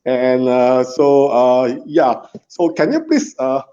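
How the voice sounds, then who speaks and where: A man hosting a live interview over a video call, speaking politely and haltingly with many fillers. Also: mid-range voice, warm tone. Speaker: host. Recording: dull lo-fi audio.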